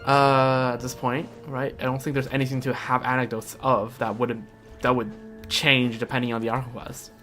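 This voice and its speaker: A man's voice: mid-range voice, level tone, moderate pace, pushed and bright. A young man giving casual live stream commentary on a game, rambling and thinking aloud. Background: game music.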